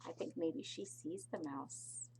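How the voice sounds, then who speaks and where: A woman teacher reads a picture book aloud for young children, expressively and deliberately, adding little explanatory asides. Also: low-pitched, gravelly, noisy background. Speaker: pre-K teacher reading aloud.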